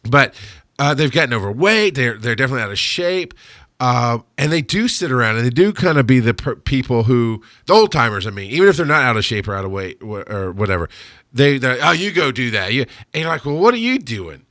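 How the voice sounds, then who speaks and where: A man in his thirties hosting a podcast, chatty and quick to laugh. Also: medium pitch, very animated, a bit bright, dry recording, clean studio sound. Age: 30s. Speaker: podcast host. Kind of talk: casual podcast chat.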